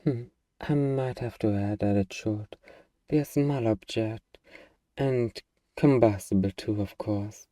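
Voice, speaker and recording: A man voicing an eager, friendly, bumbling little demon in an intimate, playful ASMR roleplay, hesitant and coaxing with drawn-out hums. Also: lowish voice, mellow, no echo.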